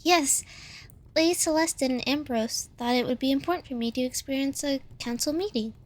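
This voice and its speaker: A woman's voice: high voice, moderate pace, lightly acted. A woman playing a young, deferential female attendant to a queen in an audio roleplay, speaking politely.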